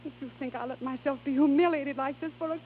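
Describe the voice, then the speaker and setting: An actress playing a haughty, scornful, mercenary society fiancée in a 1930s radio drama, on a tinny recording. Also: female voice, high-pitched, fairly even intonation, fast, natural voice.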